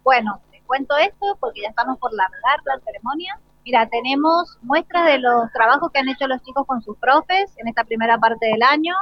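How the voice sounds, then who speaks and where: A woman with an Argentine accent gives an unscripted interview as a school director. Her voice sits a little high, and the recording is dry and somewhat dull, like a lo-fi live broadcast. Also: animated, fairly quick, hardly any pauses, no echo.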